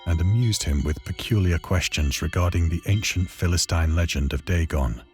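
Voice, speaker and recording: A man playing a haunted sailor who recounts his descent into madness in grave, measured, literary horror-game narration. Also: deep voice, lightly acted. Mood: grave, resigned. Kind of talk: dramatic first-person horror story narration. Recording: voice under loud music and storm sounds.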